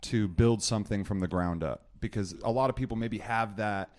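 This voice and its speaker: A man's voice: lowish voice, moderate pace, darkish tone. A man hosting a podcast, talking in a reflective, encouraging way and drawing his guest out. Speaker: podcast host. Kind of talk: casual conversation.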